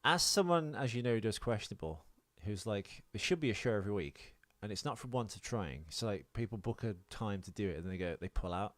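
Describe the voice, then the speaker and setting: A man's voice: fairly low pitch, expressive, fairly quick, hardly any pauses, dry recording. A British man co-hosting a podcast, chatting casually and unscripted in a rambling, hesitant, self-deprecating way with some swearing. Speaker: podcast co-host.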